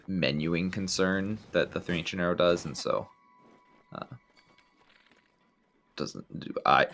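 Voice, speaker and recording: Male speedrun commentator, very low gravelly voice, laid-back explainer. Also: slight background noise. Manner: relaxed, explanatory, frequent ums and restarts. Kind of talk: live game commentary.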